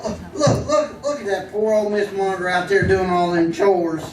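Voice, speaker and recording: A man's voice: high-pitched, fairly even intonation, normal speed, darkish tone, soft background music, lightly acted. A man playing a simple, good-natured sidekick in a radio western, folksy and stammering. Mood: chatty. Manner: folksy, stammering, repeats words. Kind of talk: old-time radio drama acting on stage. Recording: echoey live stage, distant, faint noise.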